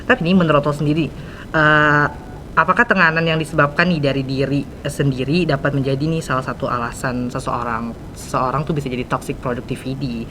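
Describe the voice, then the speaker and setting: A man's voice: medium pitch, fairly even intonation, a little fast, noisy background. A teenage boy hosting a casual school podcast, chatty and full of slang and fillers. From Indonesia. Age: teens. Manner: slang-heavy, many fillers. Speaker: student podcast host.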